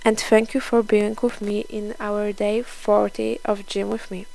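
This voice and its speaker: Young woman w doing a fitness voiceover, kinda slow n careful, bit of vocal fry, sounds scripted. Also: medium pitch, level tone, a little mellow, low-quality recording. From Poland. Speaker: fitness vlogger. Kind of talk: scripted instructional voice-over.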